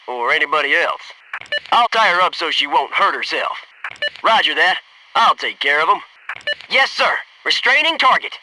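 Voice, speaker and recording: A man's voice: high-pitched, background noise. A male actor playing a loyal, wisecracking special forces soldier in a military shooter game, speaking in clipped military phrases. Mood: dry, wry.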